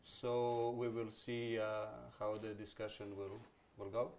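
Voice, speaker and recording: Chatty male moderator, low voice. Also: level tone, measured pace, a little mellow, slight background noise. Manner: chatty, many fillers and false starts. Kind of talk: onstage masterclass conversation.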